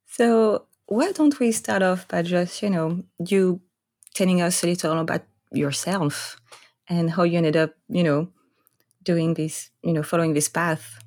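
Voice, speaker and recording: A woman's voice: on the low side, steady tone, unhurried, darkish tone. A woman in her thirties from Corsica with a French accent, hosting a podcast, reading her intro carefully and then chatting with a guest. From Corsica, France. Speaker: podcast host. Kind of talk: scripted intro then casual interview.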